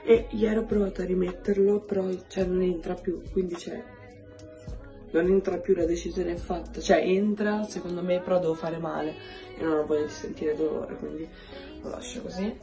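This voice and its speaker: A woman's voice: on the low side, steady tone, moderate pace. A woman in her twenties, vlogging casually to her phone at home and chatting to viewers as she goes. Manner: chatty, addresses viewers as "raga". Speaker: lifestyle vlogger. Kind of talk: casual chat to camera. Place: apartment, indoors. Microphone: phone camera at arm's length. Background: background music.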